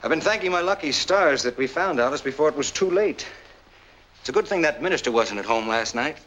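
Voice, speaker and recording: An actor in a 1940s film noir playing a self-assured war-veteran husband who explains things in a measured, polite, controlled way. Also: male voice, a little high, expressive, fairly bright tone, dull audio, slight background noise. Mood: coolly confident. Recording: old 1940s film soundtrack.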